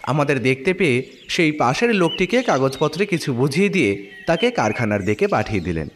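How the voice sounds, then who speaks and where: A man's voice: a little high, big pitch swings, a little fast, big-room sound, slight background noise. A man narrating a detective story in the first person, as scripted audio drama narration.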